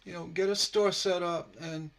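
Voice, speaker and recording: A man teaching stained glass on a casual live stream, slightly hoarse from a sore throat, relaxed and rambling with many fillers. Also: high voice, moderate pace. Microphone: computer mic on a live stream.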